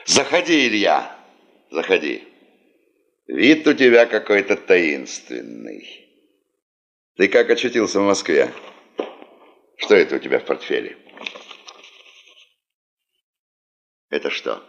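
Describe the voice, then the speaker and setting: An actor in a Soviet radio play as a cautious, weighty senior male official, probing, measured and authoritative. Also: deep voice, big pitch swings, fairly bright tone, exaggerated voice. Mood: wary, probing. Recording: dull, band-limited old radio recording.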